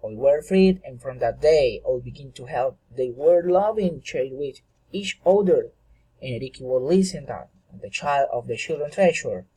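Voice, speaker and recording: A man narrating a fairy tale dramatically, with halting, deliberate phrasing and occasional stumbles. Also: medium pitch, animated, mellow, breathy edge, low-quality recording.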